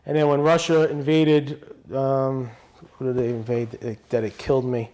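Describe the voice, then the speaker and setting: A man's voice: mid-range voice, little pitch movement, normal speed, a little mellow. A man, a guest on a podcast telling stories in a blunt, loose way with many restarts. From Brooklyn, New York. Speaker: podcast guest, retired police officer. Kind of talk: casual interview conversation.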